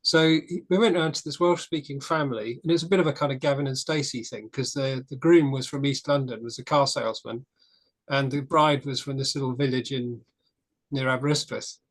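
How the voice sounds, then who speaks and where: A man's voice: medium pitch, fairly even intonation, a little fast, rough recording. A man in a casual church discussion group, talking frankly and telling a story with restarts.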